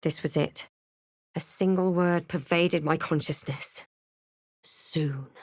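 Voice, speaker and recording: Wary snarky adventurer gal for a fantasy game, lowish voice, dry n measured, super close clean studio sound. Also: female voice, fairly even intonation, no echo. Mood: solemn storytelling. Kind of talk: video game voice lines, scripted barks and narration.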